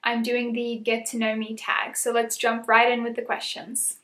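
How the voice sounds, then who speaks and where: Young female vlogger, slightly high voice, chatty and giggly, roomy echo. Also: completely flat delivery, moderate pace, pushed and bright. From South Africa. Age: 20s. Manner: chatty, laughs often, many "um"s and "like"s. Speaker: YouTuber. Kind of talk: casual get-to-know-me chat. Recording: echoey room.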